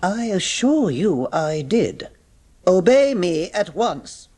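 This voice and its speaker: A stern schoolmaster as a woman acts him out, slow and very theatrical in pitch. The voice is husky, and the manner is commanding and sarcastic, made for a dramatised storybook. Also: very animated, warm tone, full character voice. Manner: commanding, clipped orders.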